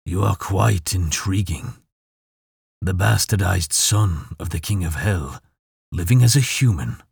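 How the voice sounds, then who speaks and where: A man puts on a male demon lord for a fantasy audiobook. The voice is husky and crackling, with long, deliberate words. It is menacing and mocking throughout. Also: sits low, animated, dry recording, studio quality, heavily acted. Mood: taunting. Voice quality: raspy. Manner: slow, deliberate, ominous. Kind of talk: audiobook character voice.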